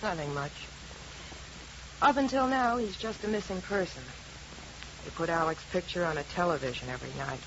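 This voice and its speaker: An American woman playing a brusque, deadpan, tough young wife in a 1950s radio detective drama. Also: fairly low pitch, normal speed, long pauses. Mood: flat, offhand. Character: blunt, world-weary, slovenly young wife, tough dame. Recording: noisy, muffled old radio recording.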